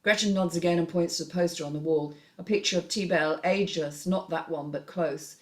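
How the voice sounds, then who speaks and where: Low-voiced woman narrating a screenplay. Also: brisk, darkish tone. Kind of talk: scripted narration, reading stage directions.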